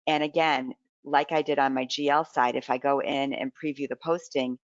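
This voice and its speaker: A presenter giving a live software demonstration in an informal way, explaining and thinking aloud while navigating. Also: medium pitch, fairly even intonation, normal speed, dry recording, muffled recording.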